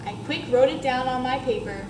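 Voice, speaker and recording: Woman playing an excitable little first-grade girl, high-pitched. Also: fairly quick, lightly acted. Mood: excited. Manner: excitable, emphatic, childlike phrasing. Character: chatty, dramatic first-grade girl narrating her spelling test. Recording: roomy classroom echo, clear background noise.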